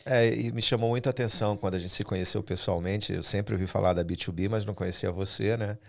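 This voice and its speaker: Male podcast host, low-pitched, conversational interview delivery. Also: steady tone, quick pace, vocal fry.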